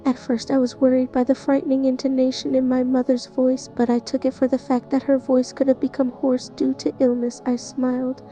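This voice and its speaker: A woman narrating a first-person horror story in a tense, even manner. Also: high-pitched, fairly quick, few pauses, faint music behind. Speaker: narrator playing the listener's character.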